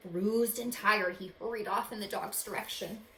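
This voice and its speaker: A woman's voice: medium pitch, fairly even intonation, a bit bright. A woman reading a children's storybook aloud with dramatic expression, as if to young listeners. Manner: dramatic, pausing to ask young listeners questions. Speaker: teacher reading a picture book aloud to children. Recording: roomy echo, faint background noise.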